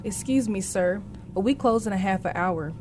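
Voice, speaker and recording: A woman's voice: mid-range voice, normal speed, darkish tone, a little hiss or hum, natural voice. A woman playing a polite, formal museum attendant in a radio drama. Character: polite female museum attendant announcing closing time.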